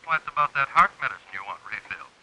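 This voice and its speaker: A man's voice: mid-range voice, expressive, racing, bright, forceful tone, low-quality recording. An American man acting a fussy, wary pharmacist in a 1950s film, officious and hesitant, heard through a telephone. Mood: businesslike, questioning. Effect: telephone filter. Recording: hissy old film, voice over telephone.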